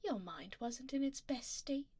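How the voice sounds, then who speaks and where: A woman's voice: sits high, lively intonation, a little slow, a little mellow, slightly rough, full character voice. A woman playing a haughty, doting vampire duchess in an intimate ASMR roleplay, imperious and refined, often slipping toward a whisper. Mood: intrigued, teasing. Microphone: close binaural microphone.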